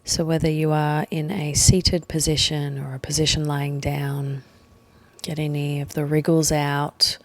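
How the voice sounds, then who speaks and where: A woman leading a guided meditation, speaking gently and leaving long pauses between short phrases. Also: low-pitched, level tone, dry recording. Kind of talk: guided meditation, slow soothing instruction.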